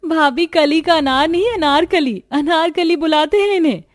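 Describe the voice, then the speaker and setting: A woman's voice: high-pitched, normal speed, natural voice. A woman voicing a caring, outspoken young fashion designer in a family cartoon. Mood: bright. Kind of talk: Hindi cartoon voice acting.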